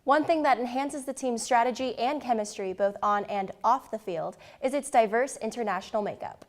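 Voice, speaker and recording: A woman's voice: fairly high pitch, normal speed. Upbeat female student sports co-anchor. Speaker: student sports show co-host. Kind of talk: scripted sports news reading. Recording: music bed underneath.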